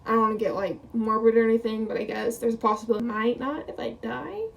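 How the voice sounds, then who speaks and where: A woman's voice: a little high, fast, vocal fry, far from the mic, highs cut off, a little hiss or hum. A woman of twenty talking casually to camera as a vlogger, rambling, quoting her own thoughts and laughing often. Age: 20s.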